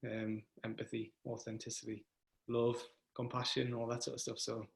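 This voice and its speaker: A man, hosting a casual chat in a relaxed, hesitant way with many restarts. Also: medium pitch, normal speed, creaky. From north of England. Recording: echoey room.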